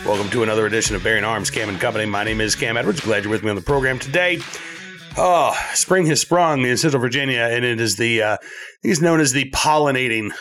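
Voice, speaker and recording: A male talk show host who sounds scratchy and stuffed up from allergies, talking in animated bursts with many fillers. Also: mid-range voice, fast, faint music behind. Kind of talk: conversational political talk show.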